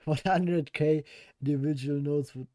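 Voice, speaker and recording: A man streaming his game development, hoarse with a worn-out voice, thinking aloud, answering chat and laughing at himself. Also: on the high side, fairly even intonation, a little slow, dark tone, no room sound, clean studio sound. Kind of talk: casual live-stream chat while coding.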